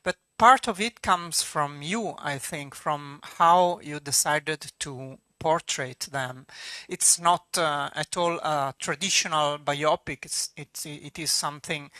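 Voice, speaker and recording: A native Italian speaker with an Italian accent hosting an interview in English, halting and searching for words. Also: mid-range voice, bright, forceful tone, no room sound. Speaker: cinema host interviewer. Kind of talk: interview questions.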